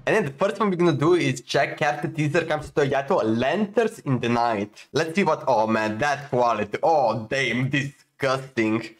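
A man's voice: medium pitch, normal speed, hardly any pauses. A man in his twenties giving casual, slangy, exclamation-filled reactions as a video host, recorded close up in a room at home. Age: 20s. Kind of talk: casual excited reaction commentary. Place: home gaming room, indoors.

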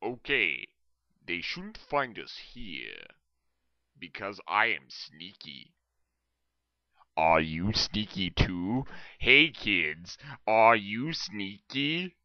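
Slow, low-voiced goofy young man, muffled mic. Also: sing-song, dry recording. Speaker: amateur voice actor. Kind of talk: hammy comic performance of script lines and ad-libs. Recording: dull, lo-fi close mic.

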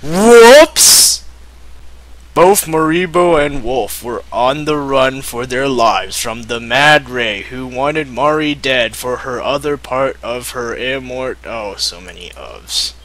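Young guy reading fanfic out loud all dramatic and jokey, bright forceful voice, kinda slow. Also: mid-range voice, rough recording. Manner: theatrical, breaks off to joke and giggle. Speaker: amateur reader of fan fiction. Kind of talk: mocking dramatic reading with joking asides.

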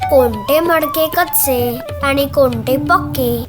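High-pitched, outspoken little girl. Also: slow, music in the background. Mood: calm, confident. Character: outspoken little girl saint, wise beyond years. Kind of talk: children's devotional story acting.

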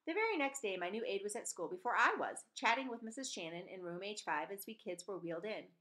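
A woman's voice: medium pitch, fast. A woman in her forties reading a novel aloud expressively, with the odd stumble, into a computer mic in a room at home. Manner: animated, with stumbles and self-corrections. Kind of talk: expressive storybook read-aloud. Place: small room at home, indoors. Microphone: computer webcam mic at desk distance.

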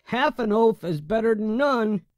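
Un homme jouant un gros ourson moqueur et brute. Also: male voice, high-pitched, animated, measured pace, no room sound, muffled recording, pitched above the performer's own voice. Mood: mocking. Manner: taunting, sing-song, loud. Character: big bully bear cub, mocking and sneering.

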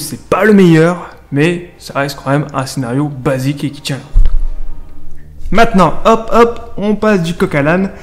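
Higher-pitched Frenchman in his thirties, casual game reviewer. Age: 30s. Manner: informal, slangy, conversational asides to viewers. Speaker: gaming video creator and reviewer. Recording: music under the voice. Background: music.

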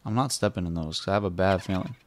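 A woman's voice: a bass voice, fast, slight background noise. A woman chatting casually and reacting while playing a video game. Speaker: gamer playing on camera-less let's play. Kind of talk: casual gaming chat and reactions.

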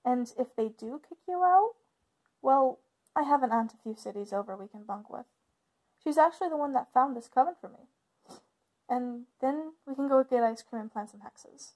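A woman acts out a protective big sister from a witches' coven in an audio roleplay. Her voice sits high. She speaks informally and a little haltingly, always caring and reassuring toward her younger sibling. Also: animated, a little mellow, poor audio. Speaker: voice actor in ASMR roleplay. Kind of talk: ASMR roleplay, conversational acting.

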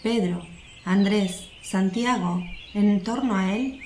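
A woman's voice: medium pitch, slow pace, a little mellow. A woman giving scripted narration for a religious radio drama. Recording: echoey, faint noise with birdsong.

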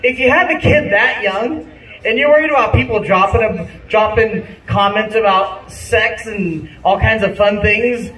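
A man in his late thirties with a fairly high voice does a rambling, self-deprecating open mic set. He often laughs at his own jokes. He speaks into a handheld mic in a small, roomy venue, heard from a phone camera out in the audience. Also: a little slow, sharp tone, far from the mic. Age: 30s. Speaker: stand-up comic. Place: small bar-like comedy venue indoors. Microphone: handheld mic, phone filming from the audience.

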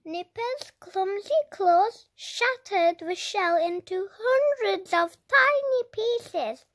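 Very high, childlike voice reading slowly. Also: fairly bright tone. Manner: slow, deliberate, dramatic emphasis. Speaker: children's storybook reader. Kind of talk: expressive read-aloud narration for young children.

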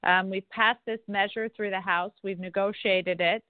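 A woman's voice: medium pitch, fairly even intonation, normal speed. A congresswoman hosting a telephone town hall, answering questions in a conversational way. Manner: conversational, with frequent restarts and fillers. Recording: dry, tinny phone line.

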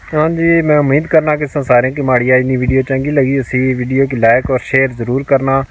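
A man's voice: mid-range voice, brisk, highs cut off. A young man from Azad Kashmir with a Pahari-Pothwari accent, talking casually to camera as a vlogger while sowing green wheat fields outdoors. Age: young adult. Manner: relaxed, chatty narration while sowing a field. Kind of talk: casual village vlog commentary. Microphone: phone camera, held at arm's length for the selfie shot.

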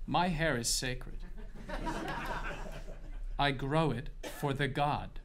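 An actor playing a self-assured, taunting young god in disguise in a dramatic stage reading of Greek tragedy. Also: male voice, mid-range voice, slow, fairly bright tone. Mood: calm defiance. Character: serene, defiant young male stranger, a god in disguise. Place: stage in a theatre. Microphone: tabletop microphone.

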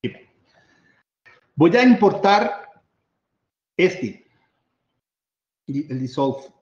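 A man's voice: fairly high pitch, very animated, very slow, darkish tone. A chatty man walks through his screen in a casual live demo, repeating small words and laughing easily. His voice carries a roomy echo, and the audio is a little muffled. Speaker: workshop presenter sharing his screen. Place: video call. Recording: echoey room, slightly dull audio.

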